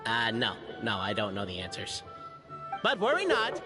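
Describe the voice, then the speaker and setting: A man's voice: on the low side, huge pitch swings, normal speed, over music. An actor playing a theatrical, boastful young male adventurer in a video game, speaking in a grandiloquent, dramatic manner. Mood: grand, breezy.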